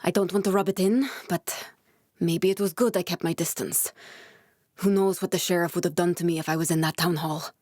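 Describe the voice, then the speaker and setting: A woman voicing an earnest, guarded young female scientist survivor in a video game, speaking in a measured, serious way. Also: fairly low pitch, fairly even intonation, a little mellow, dry recording, studio quality, natural voice. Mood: earnest, explanatory. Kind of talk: dramatic video game dialogue.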